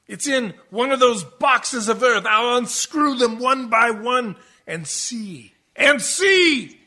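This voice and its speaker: An actor in a radio horror play playing a terrified ship's mate driven mad, raving between hushed dread and screams. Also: male voice, extremely high pitch, expressive, slow, heavily acted. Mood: frantic.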